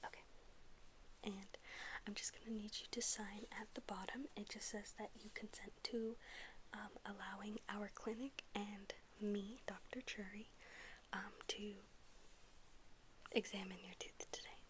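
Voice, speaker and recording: A woman whispering softly in an ASMR roleplay as a dentist, gently and politely asking questions. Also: mid-range voice, flat delivery, measured pace, long pauses, a little hiss or hum, poor audio. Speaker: ASMR performer playing a dentist.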